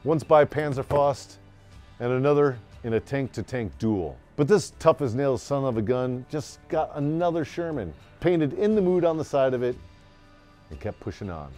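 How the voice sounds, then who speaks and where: Theatrical American man with lively, swooping delivery. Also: mid-range voice, moderate pace. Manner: theatrical, brash, tongue-in-cheek. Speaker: host and storyteller. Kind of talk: dramatic scripted storytelling with crude comic asides. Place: small wood-panelled room, indoors. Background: faint music.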